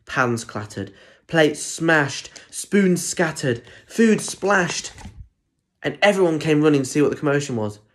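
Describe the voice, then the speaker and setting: A man's voice: a little high, animated, a little slow. A British man in his twenties reading a children's story in a playful way, with chatty asides and questions for young listeners. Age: 20s. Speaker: primary school story reader. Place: plain room at home. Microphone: phone or tablet at arm's length.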